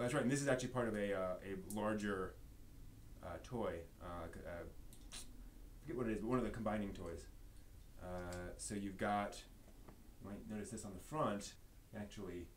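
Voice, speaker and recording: A man hosting a casual live show-and-tell stream, rambling and thinking aloud as he handles things. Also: fairly low pitch. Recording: noisy live stream audio.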